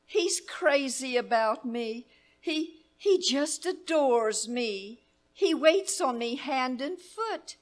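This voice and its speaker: A woman's voice: high-pitched, lively intonation, slow. A woman playing an anxious, demanding, bedridden wife in a melodramatic 1930s-style live radio drama. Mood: anxious, pleading. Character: anxious, imperious bedridden invalid wife, fretful and self-pitying.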